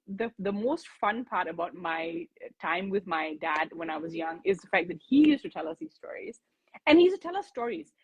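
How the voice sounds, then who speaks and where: Husky-voiced woman chatting casually. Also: medium pitch, a bit bright, highs cut off. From Singapore. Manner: chatty, with many fillers and restarts. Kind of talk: casual conversational interview.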